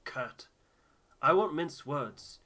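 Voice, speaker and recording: Man as earnest, restrained expedition doctor, bright voice. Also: a little high, animated, exaggerated voice. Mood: grave, concerned. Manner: measured, formal, restrained. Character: earnest, discreet male expedition doctor, concerned and careful.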